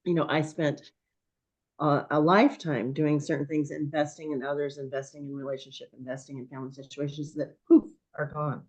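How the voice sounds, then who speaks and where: A woman in her sixties, a guest in a conversation, speaking thoughtfully and hesitantly with many pauses while her voice is going hoarse. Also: low voice, level tone, a little mellow, lo-fi sound. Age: 60s. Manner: thoughtful, hesitant with frequent ums. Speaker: podcast guest. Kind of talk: reflective conversation.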